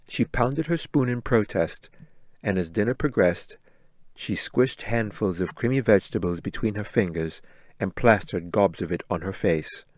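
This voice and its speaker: A man's voice: low-pitched, darkish tone, dry recording, clean studio sound. A man reading a fantasy audiobook as a scripted story narration. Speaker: author reading own audiobook.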